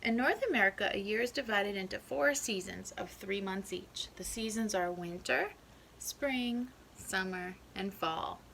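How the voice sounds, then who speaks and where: A woman's voice: medium pitch, a little slow, vocal fry, fairly bright tone, slight background noise, rough recording. A woman teacher reading a children's picture book aloud, explaining things and checking in with young listeners.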